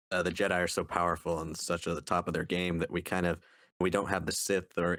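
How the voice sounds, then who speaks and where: A man's voice: low voice, fairly bright tone, dry recording, studio quality. A man co-hosting a podcast, giving his thoughts in a casual, conversational book review discussion. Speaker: podcast co-host.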